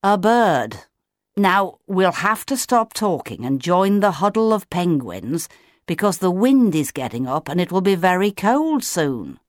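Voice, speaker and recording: A woman voicing a big, patient, fatherly male emperor penguin in a storybook, brisk and matter-of-fact. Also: big pitch swings, dry recording, studio quality. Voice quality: deep.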